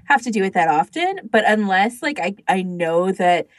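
Woman on a podcast just chatting, says like a lot, really dry recording, mid pitched voice. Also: sing-song, moderate pace, no room sound. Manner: frequent use of "like", quotes imagined speech. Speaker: podcast co-host. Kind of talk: casual group chat.

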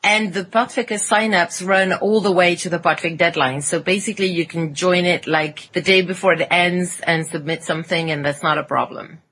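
A woman's voice: fairly low pitch, steady tone, moderate pace, hardly any pauses, a bit bright, slight background noise. A woman co-hosting a fan podcast, presenting items in a conversational way. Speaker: podcast co-host.

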